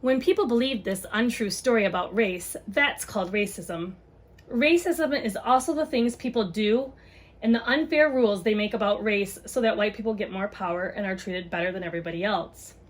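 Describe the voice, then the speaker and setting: A woman's voice: medium pitch, normal speed. A woman reading a children's book aloud and chatting casually to parents, explaining things simply.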